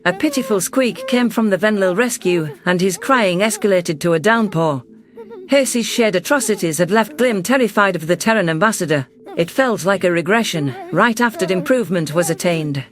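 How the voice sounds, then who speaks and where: A man voicing a caring, composed female alien leader who narrates a sci-fi story in a gentle, reflective way. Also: high voice, lively intonation, normal speed. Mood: concerned. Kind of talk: first-person sci-fi story narration and dialogue.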